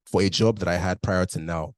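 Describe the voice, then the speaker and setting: A man's voice: low-pitched, fairly quick, dry recording. A young man from Nigeria with a mixed Nigerian, British and Canadian accent, telling his story candidly in a casual interview and leaning on "like" a lot. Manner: candid, storytelling, heavy use of "like". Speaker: guest.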